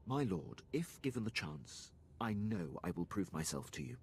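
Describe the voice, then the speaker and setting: A man's voice: low-pitched, big pitch swings, moderate pace, vocal fry, darkish tone, slight background noise, exaggerated voice. An actor playing an earnest, loyal Imperial officer in a video game, formal and precise in his deference. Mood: proud, devoted. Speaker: game character, military officer.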